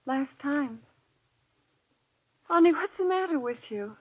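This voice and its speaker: An actress playing a timid, anxious wife, hesitant and pleading, in an old-time radio horror drama. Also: female voice, high-pitched, expressive, takes it slowly. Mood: alarmed, pleading. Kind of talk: golden age radio horror acting. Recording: tinny, narrow old radio recording.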